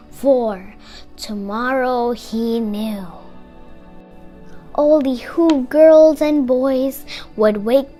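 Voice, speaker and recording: Slow, high-voiced woman reading a storybook. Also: a little mellow. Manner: dramatic, drawn-out repetition with emphasis. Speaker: storyteller reading a children's picture book aloud. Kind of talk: expressive read-aloud for children.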